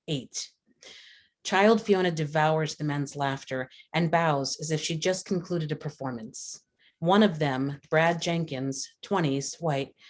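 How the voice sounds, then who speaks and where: A woman reading stage directions and scene descriptions as scripted narration at a table read. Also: low-pitched.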